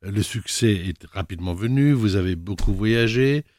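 A man's voice: lowish voice, expressive, normal speed, a little mellow, dry recording, studio quality. A man hosting a radio interview, chatty and teasing with his guest. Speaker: radio host. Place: radio studio.

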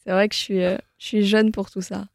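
A nineteen-year-old woman from southern France, a singer talking calmly and easily as a radio guest. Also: medium pitch, a little fast, vocal fry, dry recording, clean studio sound. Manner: calm, easygoing, soft-spoken. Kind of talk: relaxed interview talk. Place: radio studio.

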